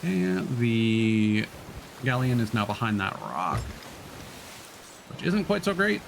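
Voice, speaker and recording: A man's voice: medium pitch, very animated, slow, vocal fry, background noise. A man streaming a game, talking casually with lots of fillers and restarts. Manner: rambling, many fillers and restarts. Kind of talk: casual gameplay commentary.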